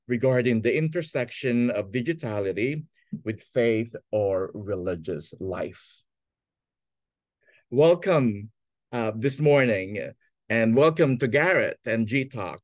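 A middle-aged man hosting a talk on a video call, reading a formal, measured introduction. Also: medium pitch, darkish tone, no room sound. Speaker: host. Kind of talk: scripted introduction read aloud.

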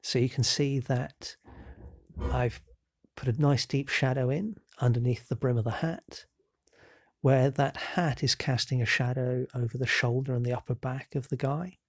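A man with a cold, narrating a real-time painting demonstration in a relaxed, explanatory way with frequent ums and self-corrections. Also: medium pitch, moderate pace, no room sound. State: has a cold. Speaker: painting instructor.

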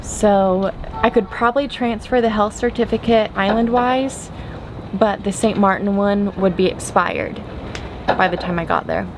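A woman's voice: medium pitch, lively intonation, measured pace. Chatty young woman vlogging on a sailboat. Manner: chatty, thinking aloud with fillers. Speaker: sailing vlogger. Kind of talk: casual vlog to camera. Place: outdoors on a sailboat deck at anchor. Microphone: camera held at arm's length. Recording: noisy outdoor wind and water.

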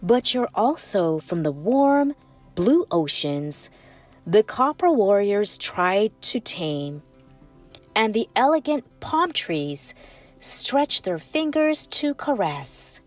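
A woman narrating a children's picture book as a storytime host, with expressive, deliberate phrasing. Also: medium pitch, far from the mic. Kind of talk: scripted picture-book read-aloud. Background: music.

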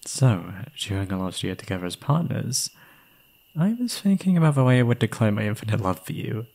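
A young man playing an obsessive, gleeful yandere kidnapper in an intimate ASMR roleplay, doting on the listener in a breathy voice. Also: lowish voice, big pitch swings, fairly quick, a little mellow, exaggerated voice. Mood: obsessive, confiding. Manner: doting pet names, unhinged chuckling, swinging into whispers.

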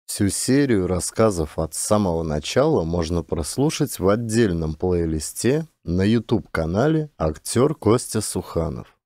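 A man narrating a scripted audiobook in a measured, expressive storytelling manner. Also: low voice, no room sound, studio quality.

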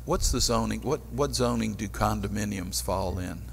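An older man questioning staff as a council member in a formal meeting, halting and deliberate, at a desk microphone in a chamber. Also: lowish voice, gravelly, slightly rough. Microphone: gooseneck desk microphone. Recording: reverberant meeting hall.

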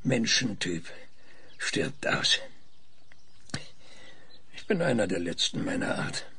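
A man's voice: fairly low pitch, sing-song, slow, creaky, pushed and bright, a little hiss or hum, full character voice. An actor playing a stubborn, weary male scientist in an audio drama, hesitant, thoughtful and dryly ironic. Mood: reflective. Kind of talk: German audio drama acting.

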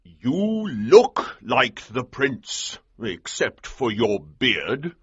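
A man voicing a bold, commanding army colonel in an audiobook, with a husky voice and an urgent, emphatic delivery. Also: on the high side, big pitch swings, slow pace, a bit bright, no room sound, lo-fi sound, pitched above the performer's own voice, full character voice. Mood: insistent. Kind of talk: audiobook character dialogue.

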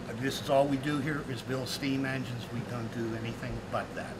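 Middle-aged man, factory worker, plain matter-of-fact explaining, loud clanking workshop behind. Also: mid-range voice, steady tone, a little fast, heavy background noise. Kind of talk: explaining his work on a factory tour. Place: large factory workshop, indoors.

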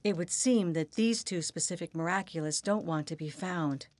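An actress playing a villain's devoted, composed assistant in an animated game, formal, restrained and earnest. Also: female voice, lowish voice, normal speed, no room sound, natural voice. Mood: regretful. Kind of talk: dramatic animated game cutscene acting.

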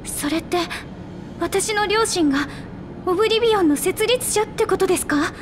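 A woman's voice: very high-pitched, moderate pace, noisy background. A woman voicing a tiny, childlike halfling researcher in a video game, earnest and polite. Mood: earnest, pleading.

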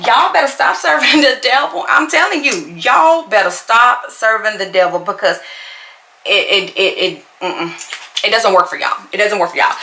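Woman in her forties, sassy and emphatic, bright tone, roomy echo. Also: medium pitch, a little hiss or hum. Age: 40s. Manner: sassy, talks straight to the commenter, repeats herself for emphasis. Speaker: YouTuber. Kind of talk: casual vlog reply to a commenter while doing makeup. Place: bedroom at home, indoors. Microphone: fixed camera at desk.

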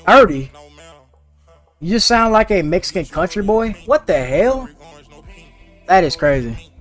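A man's voice: high voice, big pitch swings, a little slow, soft background music. A teenage boy streaming a game, chatting casually and slangily with his viewers. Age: teens. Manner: slangy and laid-back, talking to chat. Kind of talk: casual live-stream chat while gaming.

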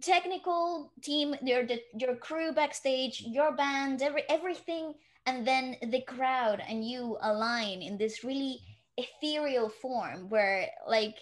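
Young woman co-host, slightly high voice. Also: a little slow. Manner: reflective, searching for words. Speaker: podcast co-host. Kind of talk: casual podcast conversation. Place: living room.

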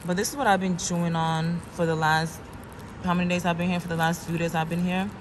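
A young woman from Guinea-Bissau chatting playfully to her viewers on a travel vlog, her voice a bit hoarse. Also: on the low side, little pitch movement, a little fast, noisy background. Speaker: travel vlogger. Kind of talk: casual vlog chat to camera. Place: hotel room and lobby at night. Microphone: handheld phone camera.

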